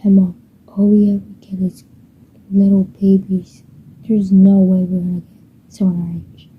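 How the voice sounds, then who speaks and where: A woman voicing a bored, grumpy bookish boy who talks in a flat, dismissive way. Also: mid-range voice, moderate pace, breathy edge. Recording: dull muffled home recording.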